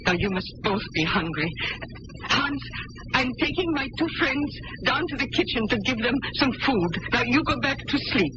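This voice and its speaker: A woman's voice: fairly high pitch, lively intonation, normal speed, lightly acted. A woman playing a brave, kind young German farm woman who shelters fugitives, in an old-time radio drama, speaking earnestly. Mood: earnest, calm. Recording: dull, very noisy radio drama recording.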